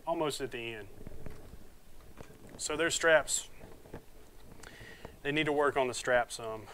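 Man, thirties, casual rambling vlog talk, mid pitch, lapel mic, faint hiss. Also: a bit bright. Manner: plainspoken, rambling, folksy. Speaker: motovlogger reviewing gear. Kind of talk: casual unboxing talk to camera. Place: small home room with shelves, indoors. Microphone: wireless lapel mic.

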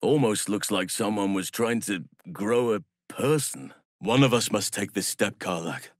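An actor playing a solemn, gentle-hearted druid healer and warrior in a video game, speaking formally and with measured earnestness. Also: male voice, on the low side, no echo, studio quality. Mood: solemn, thoughtful.

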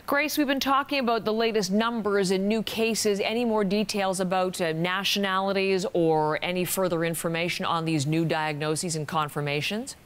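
A middle-aged woman anchoring the news from a studio, composed and clear. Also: mid-range voice, moderate pace, hardly any pauses. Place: television studio.

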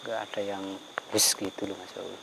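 A man acting as a spiritual medium on a night ghost hunt in a bamboo forest, calmly giving commands and reciting prayers. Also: fairly low pitch, moderate pace, vocal fry, background noise. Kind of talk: night ghost-hunting vlog.

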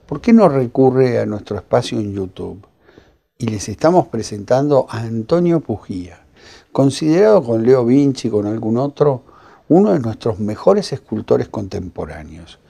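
A man's voice: medium pitch, vocal fry, mellow. An older man with an Argentine accent presenting a culture show to camera from a room full of bookshelves. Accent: Argentine Spanish. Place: library room lined with bookshelves.

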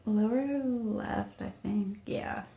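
A woman's voice: mid-range voice, slow, creaky, highs cut off. A young woman as a patient, giving brief conversational answers to questions during an exam. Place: small carpeted clinic office, indoors.

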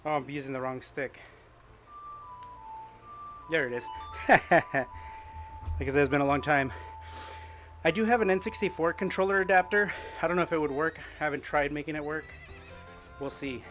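A middle-aged man streaming a game while cycling at home, chatting upbeat and thinking out loud. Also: a little high, moderate pace, long pauses, soft background music. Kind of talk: casual gaming commentary while cycling. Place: home room with indoor bike.

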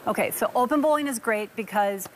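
A woman's voice: fairly high pitch, fairly quick, a little hiss or hum, poor audio. A middle-aged woman who owns a bowling alley, answering interview questions in a matter-of-fact way inside the alley. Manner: matter-of-fact, listing items.

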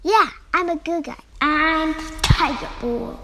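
A woman's voice: sits high, takes it slowly, background noise. A woman voicing a brave five-year-old boy superhero, childlike, blunt and earnest, in a motion comic. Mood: determined, earnest.

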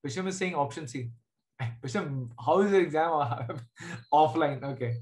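A man in his thirties with an Indian English accent, Hindi his mother tongue, teaching a math class over a video call. His voice sits high for a man as he works through each step and keeps checking that students follow. He is heard through a computer mic in a room at home. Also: a little slow, darkish tone. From Jharkhand, India.

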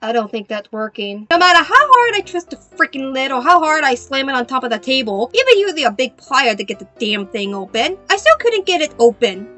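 A young adult woman narrating a craft video as host in a playful, animated, self-mocking way. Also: high voice, fairly quick, hardly any pauses, soft background music.

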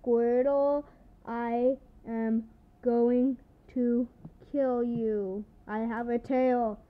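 Woman doing a hot-tempered fire lizard creature, shrill, bossy, very high voice, tinny phone-like sound. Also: fairly even intonation, drawn-out words. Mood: menacing.

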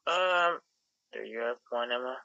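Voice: mid-range voice, animated, measured pace, gravelly, sharp tone, no echo. A terse, hesitant speaker improvising casually in a video game roleplay, recorded on a lo-fi mic.

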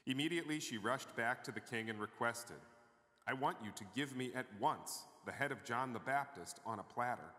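A middle-aged male pastor reading scripture aloud in a measured, clear way in a church sanctuary, heard from a distant camera. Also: fairly low pitch, pushed and bright. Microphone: far camera. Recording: long church reverb.

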